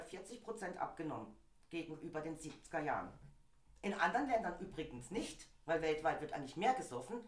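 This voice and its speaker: A middle-aged woman, slightly hoarse from a cold, chatting in an opinionated way as a podcast co-host. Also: lowish voice, reverberant. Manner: chatty, opinionated, laughs easily. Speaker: podcast co-host presenting a topic. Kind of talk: casual opinion chat.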